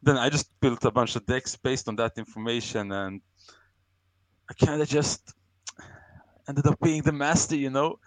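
A young man from Sweden co-hosts a casual podcast, his pitch sitting in an ordinary middle range. He rambles through his stories, corrects himself, and pokes fun at himself with frequent laughter. The sound is dry and close to the mic. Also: normal speed, long pauses, dry recording, rough recording. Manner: rambling storyteller, self-mocking, laughs often. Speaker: podcast co-host.

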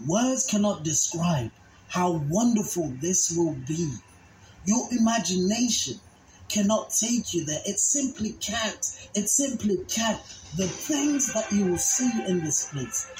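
A woman preaches in a slow, deliberate way, solemn and emphatic. She reads scripture and repeats key phrases for weight. Her voice is echoey, with music faintly underneath. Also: medium pitch, darkish tone. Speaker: narrator of a religious dramatization. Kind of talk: dramatized sermon with scripture reading.

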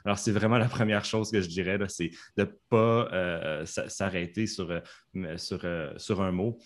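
A man's voice: low-pitched, steady tone, a little slow. A man from Canada with a Canadian French accent, an interpreter talking in a podcast interview from his home studio, hesitant with many fillers and restarts.

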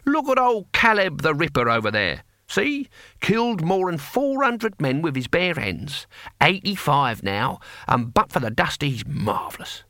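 An ancient barbarian warrior played by a male narrator from a comic fantasy audiobook, pitched up and bright. He is proud and defiant, boasting bluntly in rough everyday speech, with a very lively swing to his delivery. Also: a little slow, dry recording, studio quality, heavily acted. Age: elderly. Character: ancient toothless barbarian hero, proud, gruff, defiant old man.

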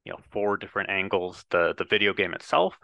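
Low-pitched man with a dry, close sound. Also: steady tone, fairly quick, gravelly, no room sound, dull audio. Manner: rambling, hedging, many fillers. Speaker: podcast host. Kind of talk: casual interview hosting.

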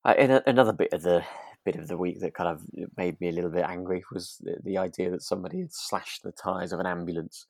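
A man with a low, gravelly voice talks casually as a podcast co-host. He is hesitant and rambles a little, and the sound is dry and close. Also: fast, no echo. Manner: hesitant, rambling, softens points with 'sort of'. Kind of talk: relaxed, casual chat reviewing a radio drama.

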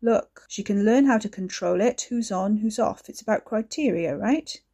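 A woman's voice: medium pitch, normal speed, dark tone, slightly rough. A woman reading an audiobook, voicing a creepy young man who taunts and mocks. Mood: mocking. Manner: taunting, sly.

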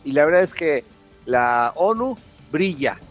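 A man giving informal, opinionated news commentary on a morning radio show, in colloquial words with a halting delivery. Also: fairly high pitch, very animated, muffled recording, soft background music.

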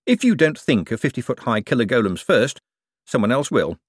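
Casting: sardonic, argumentative storybook man voiced by a male narrator, easily outraged, swooping pitch. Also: sing-song, a little fast, husky, no room sound, clean studio sound. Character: wry, exasperated, fast-thinking man prone to outrage.

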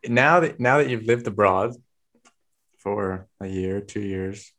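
A man interviewing a guest in casual conversation, relaxed and chatty, asking open questions. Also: on the low side, takes it slowly.

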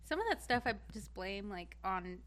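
A woman's voice: medium pitch, very animated, moderate pace, a bit bright. A woman in her twenties from Nevada co-hosting a podcast, joking around in casual chat. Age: 20s. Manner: joking, laughs often. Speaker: podcast co-host.

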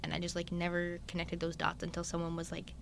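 A young man, a guest in a casual chat, talking openly and at length with lots of fillers and animated retellings. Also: on the high side, fast talker, creaky, slight background noise. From Newfoundland, Canada. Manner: chatty and open, many "like" fillers, animated retellings.

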